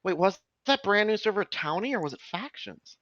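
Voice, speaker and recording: A young man in his twenties chats while gaming, his pitch swinging up and down with lots of movement. He restarts sentences and fills his talk with "like", and the stream audio sounds dull and lo-fi. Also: lively intonation, moderate pace, fairly bright tone, no room sound. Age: 20s. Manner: chatty, restarts sentences, many fillers. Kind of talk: casual chat while playing.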